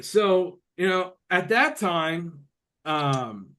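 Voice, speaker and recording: A man's voice: high-pitched, animated, extremely slow, fairly bright tone. A man in his late thirties hosting a podcast, chatting casually and rambling with lots of fillers. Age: 30s. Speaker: podcast host. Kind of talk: casual chat.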